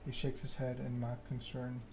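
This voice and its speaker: A man's voice: mid-range voice, monotone, moderate pace. A man reading a story game aloud in an ASMR narration style. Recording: clear background ambience noise.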